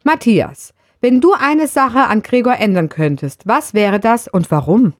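A woman's voice: fairly high pitch, lively intonation, unhurried, no echo. A woman reading out scripted interview questions for a podcast. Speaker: question reader.

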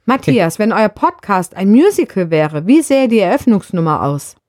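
A woman's voice: mid-range voice, sing-song, moderate pace, a little mellow, no room sound, studio quality. A woman reading prepared questions aloud to podcast hosts. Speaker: question reader. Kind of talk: scripted questions read aloud.

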